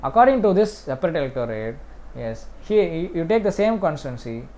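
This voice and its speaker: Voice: medium pitch, big pitch swings, a little fast, a little mellow. A teacher with an Indian accent lecturing through exam questions step by step, repeating points and often punctuating them with a short yes. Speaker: exam-prep history teacher.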